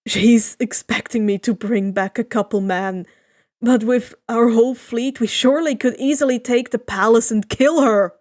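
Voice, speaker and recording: A woman's voice: on the high side, normal speed, darkish tone, dry recording, studio quality, close to an everyday voice. A woman voicing an earnest male sailor, a second-in-command who speaks urgently and insistently, in a fan fiction reading.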